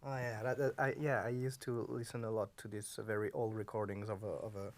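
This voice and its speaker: A man's voice: lowish voice, flat delivery, brisk. A man hosting a podcast in casual chat, hesitant and often repeating words as he gathers his thoughts. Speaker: podcast host.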